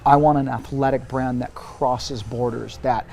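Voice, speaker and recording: A man in his forties giving casual interview answers, emphatic and animated, often restarting his sentences. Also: mid-range voice, unhurried, vocal fry. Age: 40s. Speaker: interview guest, brand executive.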